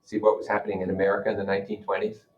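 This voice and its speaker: A man's voice: on the low side, monotone, rapid-fire. A man teaching a class, reading a novel aloud and breaking off to explain it informally and put questions to his listeners. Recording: dull, lo-fi audio.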